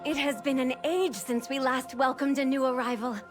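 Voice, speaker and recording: A woman's voice: high voice, fairly even intonation, normal speed, over music, close to an everyday voice. A woman voicing an earnest, dutiful celestial guide in a video game, formal and courteous. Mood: welcoming.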